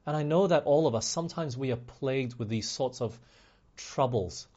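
Male preacher, slightly husky, earnest chatty sermon delivery, dull lo-fi recording. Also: medium pitch, normal speed, darkish tone. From Malaysia. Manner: earnest, conversational, with asides to the congregation.

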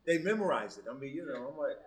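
A man's voice: medium pitch, animated, fast talker. Man speaking up from a class. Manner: hesitant, retelling a surprise. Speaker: class student.

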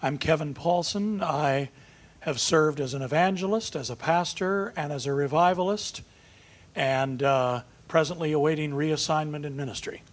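Brisk higher-voiced pastor, off-mic. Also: male voice. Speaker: evangelist and pastor.

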